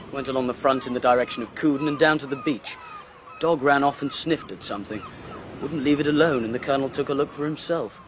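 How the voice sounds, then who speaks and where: An actor playing a self-assured, sardonic police inspector in a radio drama, speaking in clipped, dry phrases. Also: male voice, medium pitch, fairly bright tone, slight background noise, close to an everyday voice.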